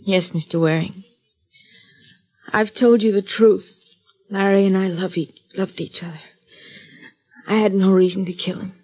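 A woman's voice: medium pitch, steady tone, measured pace, long pauses, darkish tone, dry recording, natural voice, low-quality recording. An actress playing an earnest, upset young woman under questioning in a radio crime drama. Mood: anxious, insistent. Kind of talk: 1940s radio drama acting.

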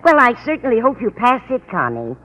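A woman's voice: fairly high pitch, wildly swooping pitch, fairly quick. An actress playing a kindly, fussy older landlady, sweetly muddled, in an old radio comedy. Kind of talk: 1940s-50s radio comedy acting. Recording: muffled, noisy old radio broadcast recording.

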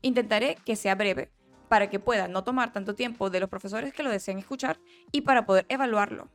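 A woman's voice: medium pitch, fairly quick, soft background music. A young woman, a nursing student, presenting her first podcast episode and explaining the roles of nurses in a careful, earnest way. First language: not Catalan. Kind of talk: read-aloud educational podcast.

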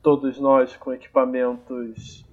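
A man's voice: mid-range voice, level tone, slow, dull audio. A man hosting a podcast, thinking aloud with many hesitations on an amateur remote recording. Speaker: podcast host. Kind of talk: casual podcast introduction. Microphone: amateur remote recording on phone or computer.

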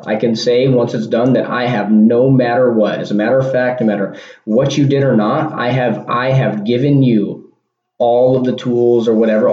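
A man hosting a casual solo podcast, rambling with restarts and punchy asides like "boom" and "bam". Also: dark tone. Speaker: podcast host. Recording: dull lo-fi sound in an echoey room.

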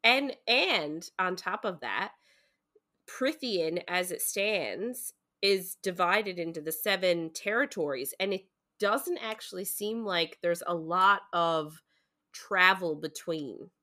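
A woman's voice: fairly low pitch, expressive, takes it slowly, pushed and bright. A woman co-hosting a podcast in a casual book discussion, speaking in an earnest, informal way and hedging her opinions as she goes.

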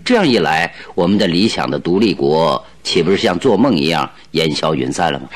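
Radio drama detective: confident, jovial, shrewd, highly animated intonation, theatrical. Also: male voice, brisk. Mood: explaining, animated. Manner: theatrical, hearty, chuckling delivery. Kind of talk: Mandarin radio drama acting.

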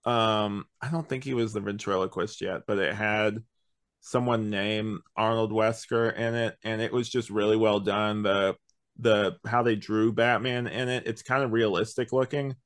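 Low-voiced man chatting on a podcast. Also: a bit bright. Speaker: podcast host. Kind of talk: casual review chat.

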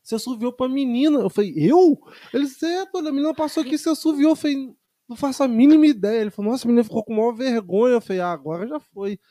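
Man in his twenties from Brazil, lively storyteller, high and mellow voice, dry sound. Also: very fast, no room sound. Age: 20s. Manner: animated storytelling, acts out dialogue. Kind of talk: casual chat.

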